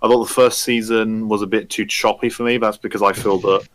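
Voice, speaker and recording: Male panelist with a lowish voice, chatting informally and offering opinions, recorded dry. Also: little pitch movement, brisk, fairly bright tone, dry recording. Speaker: podcast panelist. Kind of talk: casual chat, giving opinions.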